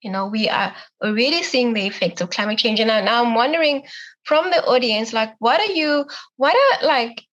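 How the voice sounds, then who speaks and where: A woman's voice: a little high, moderate pace, fairly bright tone. Young woman moderating, chatty, lo-fi. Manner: casual, many fillers of like and you know. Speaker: panel moderator. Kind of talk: online panel moderation. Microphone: video call.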